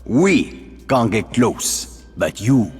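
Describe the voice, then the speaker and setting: An actor playing a suave, eloquent French resistance leader in a video game, theatrical, with a French accent. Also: male voice, fairly low pitch, big pitch swings, extremely slow, a little mellow, husky, big-room sound, soft background music, exaggerated voice. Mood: confident, sly. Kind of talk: cinematic video game acting.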